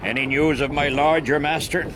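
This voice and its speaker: An actor playing a scheming, demanding Irish gentleman with an Irish accent in a period radio drama, gruff and peremptory in manner. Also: a little high, steady tone, moderate pace, background noise, lightly acted. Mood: suspicious, demanding.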